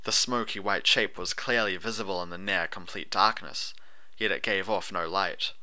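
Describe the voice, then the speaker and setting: Low, very bright male audiobook narrator. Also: steady tone, moderate pace. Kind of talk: scripted audiobook narration of fan fiction.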